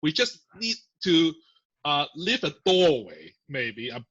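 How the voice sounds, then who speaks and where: Bright-voiced man explaining over muffled audio. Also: fairly high pitch, very animated, slow, breathy edge. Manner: chatty, filler-heavy explaining with laughs. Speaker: podcast guest, software engineer. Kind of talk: casual interview.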